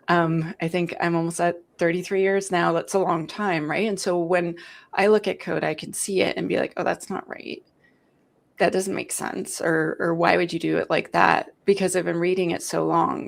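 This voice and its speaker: A woman in her forties hosting a security live stream, explaining at length in a talkative, animated storytelling way. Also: on the low side, moderate pace, gravelly. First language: English. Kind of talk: casual explanatory chat.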